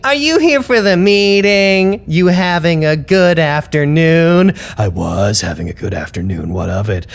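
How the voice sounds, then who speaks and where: An actor playing a fussy, anxious, paranoid office worker giving spoken reports in an audio drama, stiffly formal but easily exasperated. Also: male voice, fairly high pitch, vocal fry, exaggerated voice. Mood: exasperated, ranting. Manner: stiffly formal deadpan narration that swings into exasperated outbursts.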